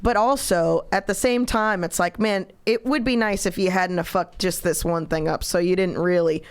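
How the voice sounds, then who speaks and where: A woman's voice: on the low side, normal speed, clean tone. A woman comedian talking casually as a podcast guest, blunt, wry and profane.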